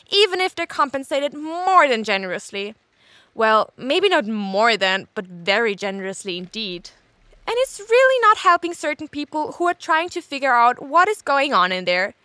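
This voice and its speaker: An actress playing a scatterbrained, sarcastic investigator who rambles and interrupts herself in an audio drama log. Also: female voice, high-pitched, pushed and bright, no echo, heavily acted. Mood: sarcastic, frustrated.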